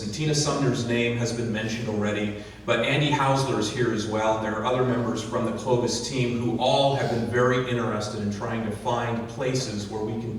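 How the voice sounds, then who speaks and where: A man's voice: medium pitch, slight background noise. A man giving an earnest thank-you speech at a ribbon-cutting ceremony, with many fillers and restarts. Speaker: county official giving a speech. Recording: distant echoey outdoor PA sound.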